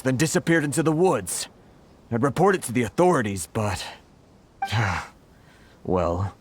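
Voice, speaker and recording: An actor voicing a worried foreign merchant in a game, who sighs often and sounds apologetic. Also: male voice, on the low side, a little hiss or hum. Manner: fretful, sighing and apologetic. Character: anxious foreign merchant, sighing and put-upon. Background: game music.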